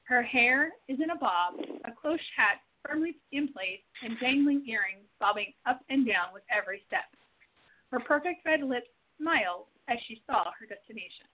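A woman's voice: on the high side, expressive, normal speed, slight background noise. A woman reading scripted narration and stage settings for a radio play. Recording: tinny narrow-band phone-like audio.

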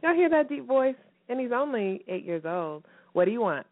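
A woman hosting a radio show in a lively, chatty way, her voice slightly hoarse and raspy from a recent cough. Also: on the high side, moderate pace. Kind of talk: casual radio chat. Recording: phone-line call-in radio.

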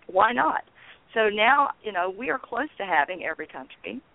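A woman in her sixties, a guest on a radio interview, talking casually and haltingly with many ums and restarts. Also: medium pitch, lively intonation, gravelly, dry recording. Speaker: guest, founder of a peace network. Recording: tinny phone line.

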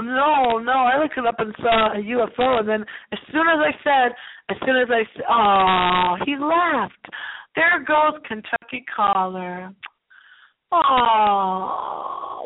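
A woman's voice: on the high side, expressive, a little slow, a little hiss or hum. Theatrical woman radio host, phone-like sound. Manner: theatrical, dramatic, chatty. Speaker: host of a paranormal radio show. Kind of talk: casual, rambling solo radio monologue. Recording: thin, phone-like radio call-in audio.

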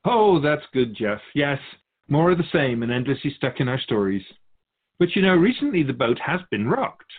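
A man voicing a wise, patient spirit who observes humankind, explaining and questioning in a measured conversational way on a radio show. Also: medium pitch, sing-song. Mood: thoughtful. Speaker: guest sage on a radio show. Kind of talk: conversational philosophical teaching. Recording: thin phone-like band.

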